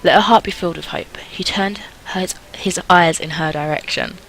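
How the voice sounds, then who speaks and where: A woman's voice: lowish voice, normal speed, gravelly, a bit bright, a little hiss or hum, low-quality recording. A chatty, self-deprecating woman reading a visual novel aloud with casual commentary. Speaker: gaming video host.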